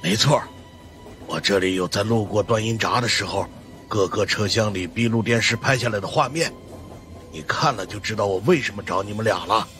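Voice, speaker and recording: A man's voice: fairly low pitch, brisk, fairly bright tone, soft background music, natural voice. A man voicing a stern, knowing older monk consultant in a ghost-story audiobook, grave and explanatory. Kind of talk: audiobook character acting.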